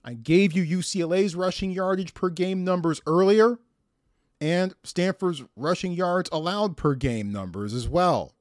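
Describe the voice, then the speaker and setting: An American man hosting a sports podcast, giving preview commentary to listeners in emphatic, deliberate phrases. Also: sits high, dry recording, studio quality.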